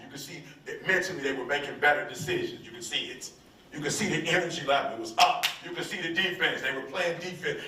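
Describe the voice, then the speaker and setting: A man's voice: medium pitch, sharp tone, far from the mic, slight background noise. American male motivational speaker, emphatic and repetitive, heard a bit off-mic in a roomy hall. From United States. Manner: emphatic call-and-response preaching, repeats phrases. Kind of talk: live motivational speech. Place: large room with audience.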